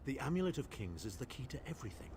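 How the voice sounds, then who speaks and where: An actor playing a haughty aristocratic male mage with a dry, condescending manner, over steady game noise. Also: medium pitch, very fast. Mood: sardonic.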